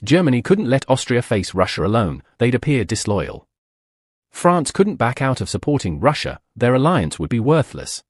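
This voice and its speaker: Husky guy doing dramatic history narration, very up and down, dead dry sound. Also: medium pitch, big pitch swings, normal speed, no echo. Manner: dramatic, punchy, full of rhetorical questions. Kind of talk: scripted documentary narration.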